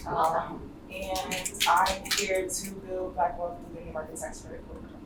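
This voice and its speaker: A woman's voice: lowish voice, level tone, a little slow. A woman introducing herself and her work at a group event. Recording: very noisy echoey room, distant mic.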